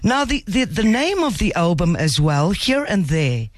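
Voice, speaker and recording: A radio host interviewing a guest with enthusiasm, often answering with a quick "yes". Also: medium pitch, sing-song, dry recording, studio quality.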